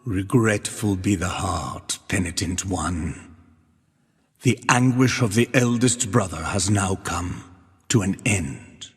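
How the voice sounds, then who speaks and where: Dark-fantasy game penitent: husky, breathy, very slow and solemn, ringing in a long cavernous reverb. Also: male voice, low-pitched, full character voice. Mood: grave, foreboding. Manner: solemn, measured, portentous. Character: solemn ancient penitent narrator and witness, grave and devout. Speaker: narrator. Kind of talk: archaic scripted video game narration.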